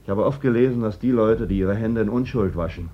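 An actor playing a bitter Republican worker in a radio play, probing with pointed, insistent irony. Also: male voice, on the low side, fairly quick, lightly acted. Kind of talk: German radio play acting. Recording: dull, noisy old radio recording.

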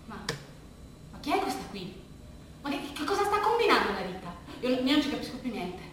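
A woman performing a theatrical monologue as a flustered art restorer who stammers and repeats words haltingly. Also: high-pitched, sing-song. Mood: anxious, bewildered. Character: flustered, stammering church fresco restorer called Gordon. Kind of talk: theatrical monologue for radio, narrating and acting. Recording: echoey room, distant mic, faint noise.

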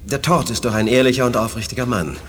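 An actor playing a composed, thoughtful telepath officer with an earnest, respectful manner in a 1980s German radio play. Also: male voice, medium pitch, quick pace, a little hiss or hum, close to an everyday voice.

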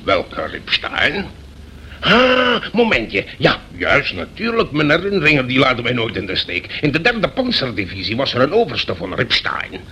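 A man's voice: medium pitch, wildly swooping pitch, normal speed, pushed and bright. An actor playing a pompous, blustering old retired general in a radio play, repeating himself as he reminisces. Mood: pompous, reminiscing. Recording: creaky radio drama recording with background hiss.

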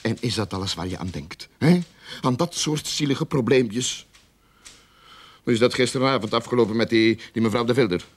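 An actor in a radio play playing a blustering, money-grubbing, lying detective agency boss with a sarcastic, exasperated manner. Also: male voice, mid-range voice, normal speed, natural voice. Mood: sarcastic, complaining. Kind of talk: radio drama acting.

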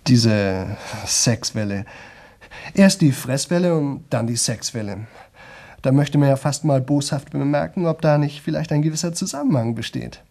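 A man's voice: mid-range voice, lively intonation, moderate pace, gravelly, a little mellow. An actor playing a chatty young man who rambles nonstop to an audience in a radio play, colloquial, digressive and often interrupting himself. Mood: chatty, musing. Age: young adult. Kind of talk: 1970 German radio drama monologue.

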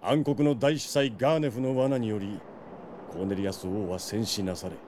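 An actor playing a loyal, solemn knight captain in a fantasy audio drama, speaking in formal, deferential courtly language. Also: male voice, fairly low pitch, fairly even intonation, moderate pace, a little mellow, slight background noise, natural voice. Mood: grave.

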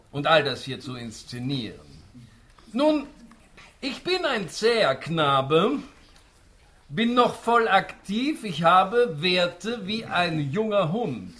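A smug, spry old patriarch in a rhymed radio drama. His fairly high voice swoops up and down theatrically. He takes his time, pompously savouring every phrase. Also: sharp tone, full character voice. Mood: defiant, boastful. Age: older. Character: smug, spry old tycoon patriarch who faked death. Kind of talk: rhymed radio play monologue.

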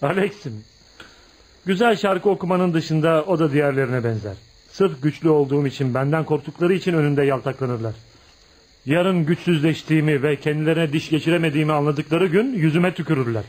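Man acting a brooding tough guy outcast in a radio play, highish voice, bitter n proud, dramatic storyteller. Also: brisk, darkish tone, close to an everyday voice, poor audio.

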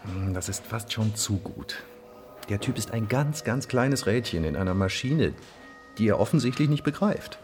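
An actor playing a dry, stubborn undercover police detective in a crime radio drama, laconic and sardonic. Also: male voice, lowish voice, normal speed, faint music behind. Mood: dry, confident. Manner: laconic, sardonic, deadpan wording. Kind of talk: naturalistic German crime radio drama acting. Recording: faint background noise.

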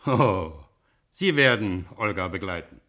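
Pompous lord: very low voice, formal, anxious and gullible, vintage radio drama. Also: male voice, animated, unhurried, bright, forceful tone, full character voice. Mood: worried, dignified. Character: stiff, gullible, anxious gentleman lord, master of house. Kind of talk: 1960s German radio play acting.

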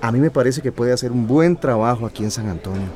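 An actor playing a wistful, evasive teacher in a radio drama, speaking in a hesitant, conversational way. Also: male voice, medium pitch, animated, quick pace, a little mellow, lightly acted. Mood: teasing. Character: wistful, evasive male teacher and former musician.

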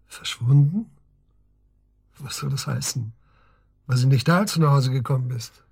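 An actor playing a wry, inquisitive middle-aged police officer questioning an old friend in a naturalistic radio drama. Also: male voice, medium pitch, normal speed, vocal fry, a little mellow, breathy edge. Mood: concerned. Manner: inquisitive, prodding, familiar.

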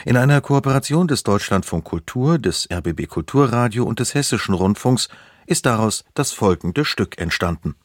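A man's voice: medium pitch, expressive, moderate pace, no room sound, studio quality. A man reading a scripted introduction to a radio drama as the announcer.

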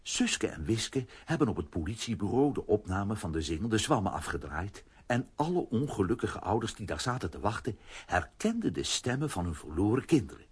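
A man narrating a children's radio play as an animated storyteller with a raspy voice. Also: fairly low pitch, normal speed, exaggerated voice.

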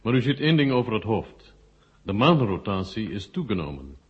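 Confident, formal Russian marshal, rough lowish voice, muffled vintage radio drama sound. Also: male voice, sing-song. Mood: stern, confident. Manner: measured, formal. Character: assured, stern Russian marshal explaining a missile strike. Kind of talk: 1970 Dutch science-fiction radio drama acting. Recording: muffled old radio recording.

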